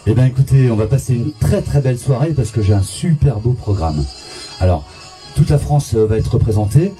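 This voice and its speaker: A man's voice: medium pitch, expressive, a little fast, dark tone. A man hosting a live radio karaoke show, chatting to listeners and introducing their song requests. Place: radio studio. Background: karaoke music.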